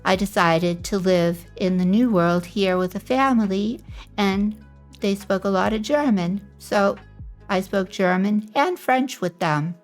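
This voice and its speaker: This is a woman streamer introducing herself in a relaxed, meandering way, with frequent pauses between her thoughts. Her pitch sits in the middle range and she speaks at a very slow pace. Faint background music plays underneath her voice. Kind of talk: casual self-introduction stream.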